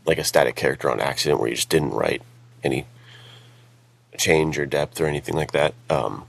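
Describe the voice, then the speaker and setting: A man chatting casually as a podcast co-host, with a gravelly voice. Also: very deep voice, brisk. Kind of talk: casual chat. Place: outdoors while on vacation. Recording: music behind, rough sound quality.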